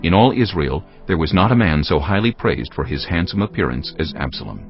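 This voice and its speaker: A man narrating scripture from a script in a measured storytelling manner. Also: sits low, sing-song, vocal fry. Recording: slightly muffled, music underneath. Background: background music.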